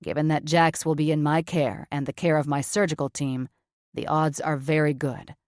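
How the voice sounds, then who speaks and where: A cocky, no-nonsense male surgeon from an audiobook, as a woman narrator puts him on, dropping curses with cool, flat certainty. The voice sits low, and the recording is close and dry with no room sound. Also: moderate pace, no echo, close to an everyday voice. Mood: matter-of-fact. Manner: profane, blunt, matter-of-fact. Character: blunt cocky male surgeon, adult man.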